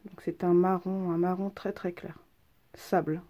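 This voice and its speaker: A woman's voice: on the low side, little pitch movement, a little slow, mellow. A woman with a head cold, presenting handmade cards in a chatty, hesitant way full of ums. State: has a head cold, stuffy. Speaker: craft vlogger. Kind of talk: casual craft show-and-tell.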